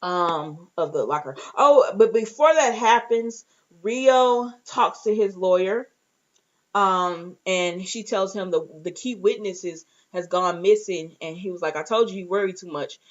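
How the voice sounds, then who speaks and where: A woman's voice: medium pitch, a little slow, muffled recording. A woman giving a casual, chatty recap of a TV show in an informal way, retelling dialogue and adding her own reactions. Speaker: reviewer and host of a TV recap show. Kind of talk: casual recap chat with acted-out asides.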